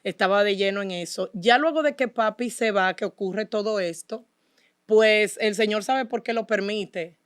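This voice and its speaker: A woman's voice: mid-range voice, normal speed, smooth, dry recording, studio quality. A woman with a Dominican Spanish accent, speaking as an interview guest and telling her personal story in an earnest, devout way. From Dominican Republic. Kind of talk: personal testimony in conversation.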